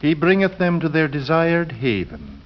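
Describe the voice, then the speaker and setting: A man playing a pious, weary and mysterious gentleman lodger with a sharp temper, speaking formally and deliberately in 1940s radio drama style. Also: on the high side, wildly swooping pitch. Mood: weary, solemn. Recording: muffled vintage 1940s radio recording.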